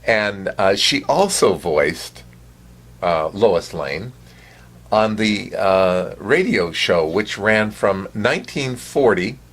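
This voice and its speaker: A man hosting a show, informally presenting to viewers in a halting way, in short broken phrases. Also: fairly low pitch, lively intonation, fairly bright tone. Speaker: show host.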